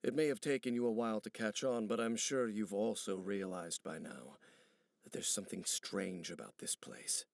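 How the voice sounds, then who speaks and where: Deep, brooding ancient warrior. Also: male voice, creaky, dry recording. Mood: grave, wary. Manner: grave, formal, measured speech. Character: solemn, brooding ancient male warrior, bitter over old betrayal. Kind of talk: video game character dialogue. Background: background music.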